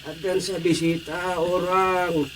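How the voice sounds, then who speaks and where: Casting: village man, notably high register, radio comedy, clearly noisy background. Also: little pitch movement, slow, a bit bright. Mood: questioning. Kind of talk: Ilocano radio drama comedy acting.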